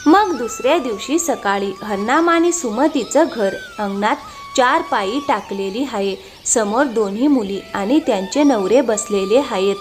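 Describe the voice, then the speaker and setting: A female narrator reads a scripted moral story. Her voice is high and very varied in intonation, with faint music underneath. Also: animated, unhurried.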